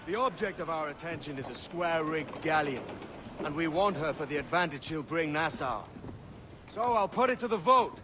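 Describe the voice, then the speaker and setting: A man plays a roguish young pirate captain in a game cutscene. His fairly high voice is self-assured and wry, made for addressing a crew, over noisy ambience. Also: lively intonation, moderate pace, natural voice. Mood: rallying. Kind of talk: video game cutscene acting.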